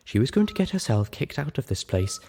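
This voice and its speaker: A man's voice: medium pitch, big pitch swings, brisk, dark tone, breathy edge, pitched above the performer's own voice. A man voicing a heartbroken, refined female pony, pained yet firm and reproving, in a storybook reading. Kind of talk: audiobook character voice in storybook reading.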